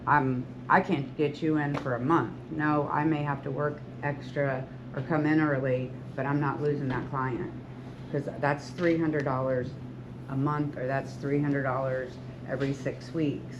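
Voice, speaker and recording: A woman's voice: rumbling low, level tone, unhurried. Woman, sixties, hairstylist, earnest, encouraging, rambling, dull lo-fi sound, noisy background. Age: 60s. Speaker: hairstylist and salon owner. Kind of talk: talk to beauty school students. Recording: lo-fi with loud background noise.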